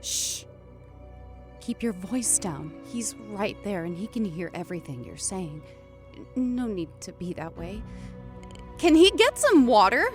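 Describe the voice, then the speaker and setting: An actress playing an earnest, worried but assertive fiancée in a survival horror audio drama. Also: female voice, medium pitch, very animated, a little slow, over music. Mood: insistent. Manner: earnest, urgent. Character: worried, assertive female fiancée of a quarantined man. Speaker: voice actor.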